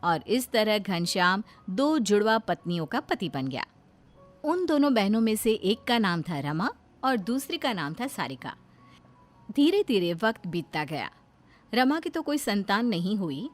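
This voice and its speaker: A woman reading scripted narration for an animated moral family story. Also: mid-range voice.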